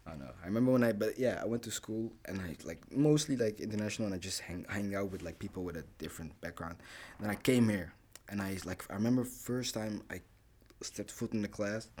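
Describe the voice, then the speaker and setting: Young man, slightly low voice, rambling casual chat, laughs a lot. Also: quick pace, a little mellow. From Rwanda, raised in the Netherlands. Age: young adult. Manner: rambling, laughing, lots of fillers and restarts. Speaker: podcast co-host.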